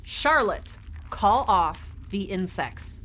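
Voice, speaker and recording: A woman's voice: medium pitch, lively intonation, slow pace, fairly bright tone. A woman playing an earnest young ship's medic in an audio drama, hesitant and rambling as she thinks aloud. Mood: urgent. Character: X, earnest young woman, improvised ship medic, awkwardly reassuring.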